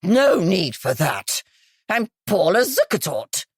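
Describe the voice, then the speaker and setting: A gravelly, smoky older woman, just woken and thick-voiced, as a woman narrator puts her on. She speaks very low and drags her words slowly. The sound is husky and dry, close to the mic. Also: expressive, fairly bright tone, no room sound, full character voice. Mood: groggy.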